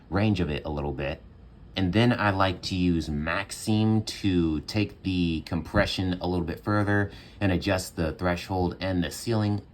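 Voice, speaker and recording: A young man with a very low, bassy voice talks in a loose, relaxed way, leaning on fillers as he walks through his home studio setup. He sounds close to a phone mic in a small room. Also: slight background noise. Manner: relaxed, chatty, many fillers. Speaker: home music producer. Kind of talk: casual tutorial walkthrough.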